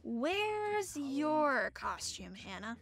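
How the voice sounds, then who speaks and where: A woman plays a chatty gamer friend in an audio drama. The voice is high and bright, casual and playful in its explanations. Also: very animated, extremely slow, vocal fry, heavily acted. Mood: helpful, playful.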